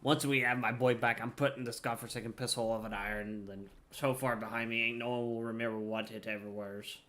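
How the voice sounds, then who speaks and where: A man's voice: medium pitch, steady tone, fairly quick, a bit bright, pitched below the performer's own voice, natural voice. A man voicing a foul-mouthed, fed-up video game pirate who grumbles in a rustic dialect. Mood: grim, resolved.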